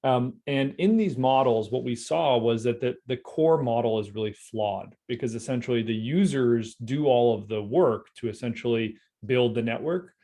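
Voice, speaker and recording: A man's voice: medium pitch, a little mellow. Man explaining a business idea. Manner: explanatory, repeats words while thinking. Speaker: co-founder presenting. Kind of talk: online company update.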